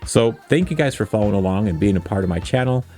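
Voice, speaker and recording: A man's voice: on the low side, expressive, quick pace, a little mellow, soft background music. A man hosting a casual coding tutorial, thinking aloud in an informal, self-deprecating way. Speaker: tutorial host.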